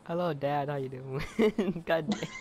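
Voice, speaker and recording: A man's voice: fairly high pitch, lively intonation, measured pace, darkish tone, slight background noise. A young man gaming online with friends, prone to confused outbursts and laughing fits on voice chat. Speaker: gamer. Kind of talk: casual gaming banter.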